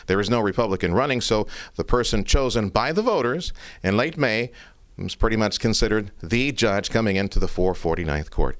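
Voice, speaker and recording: A man's voice: fairly low pitch, lively intonation, fairly quick, dry recording. A man hosting an interview, introducing the topic and putting questions to a guest. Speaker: radio interviewer.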